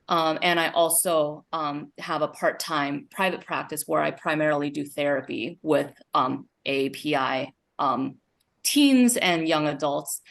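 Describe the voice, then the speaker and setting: A woman psychiatrist talking on a video-call panel, friendly and full of ums and likes. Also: sits low. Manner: warm filler-heavy talk, many ums and likes.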